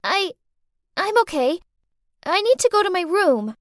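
A woman voicing a manipulative little girl who whines and pleads in a high childish voice. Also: very animated, a little slow, dry recording, studio quality, heavily acted. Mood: nervous. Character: manipulative little girl, fake-tearful and sly.

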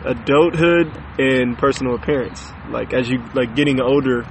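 A man's voice: medium pitch, normal speed, gravelly, slight background noise, rough recording. A man in his late twenties co-hosting a podcast in casual chat, laid-back and slangy, often ending lines with "man". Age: 20s. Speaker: podcast co-host.

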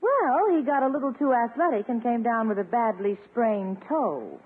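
A woman's voice: fairly high pitch, lively intonation, normal speed, slightly rough. An actress playing a poised, amused, flirtatious woman with a coy, teasing manner in a 1950s radio comedy. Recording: narrow-band old radio recording, faint noise.

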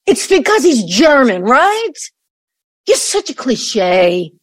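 A woman's voice: sits high, very animated, takes it slowly, no room sound, clean studio sound, heavily acted. A woman in an audio play acting a defensive, indignant grown daughter with a challenging, exasperated delivery. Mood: indignant, suspicious.

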